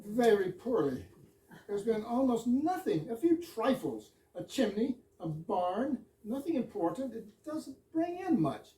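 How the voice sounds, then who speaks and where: A woman's voice: a little high, very animated, unhurried, mellow, slight background noise. A woman in an amateur stage reading, playing a polite, earnest fire chief in a hesitant, apologetic way. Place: echoey room at a live readers theater. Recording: echoey room, distant microphone.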